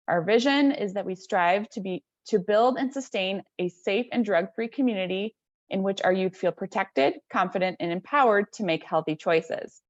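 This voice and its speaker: A woman's voice: mid-range voice, no room sound. A woman hosting an online webinar, giving a prepared introduction that is partly read aloud over a video call. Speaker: webinar host introducing a speaker. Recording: slightly dull video-call audio.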